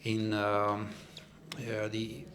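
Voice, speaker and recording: A man from Hungary, a band member, answering hesitantly with many pauses and fillers. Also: lowish voice, completely flat delivery, a bit bright.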